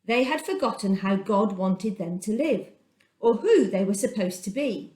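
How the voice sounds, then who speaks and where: A woman reading a children's Bible story aloud as a storyteller, with dramatic, deliberate phrasing and emphasis, recorded in an echoey room. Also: mid-range voice, expressive, a little mellow.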